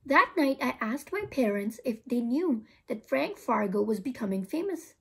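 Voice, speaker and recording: A woman's voice: a little high, sing-song, moderate pace, vocal fry, fairly bright tone, slightly rough. A young woman reading a children's story aloud expressively, acting out the dialogue, indoors in a small room. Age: young adult.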